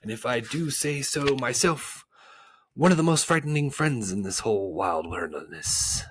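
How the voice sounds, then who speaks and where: A man's voice: mid-range voice, lively intonation, normal speed, slight background noise, pitched above the performer's own voice, natural voice. A man voicing a storybook trickster monster, boastful, smug and coldly taunting. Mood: gloating, boastful. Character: gleeful male trickster monster boasting of bad advice.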